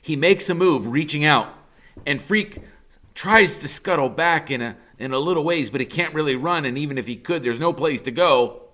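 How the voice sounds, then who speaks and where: A man reading a novel aloud as a dramatic first-person narration, expressive and suspenseful. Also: on the high side, moderate pace.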